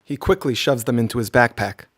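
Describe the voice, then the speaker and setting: A man's voice: mid-range voice, fairly quick, a bit bright, a little hiss or hum. A man reading a scripted children's story as its narrator. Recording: music behind the voice. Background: background music.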